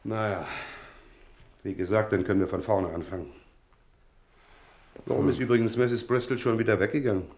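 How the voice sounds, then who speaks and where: Crime radio play: male police detective, fairly low voice, methodical, brisk, explains facts plainly. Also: vocal fry, a little mellow. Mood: matter-of-fact. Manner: businesslike, explanatory. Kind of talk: German radio crime drama acting.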